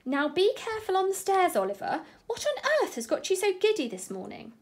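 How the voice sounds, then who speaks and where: A woman's voice: extremely high pitch, very animated, brisk, pitched above the performer's own voice. A woman voicing a surprised, fussing mother in a storybook, exclaiming and questioning.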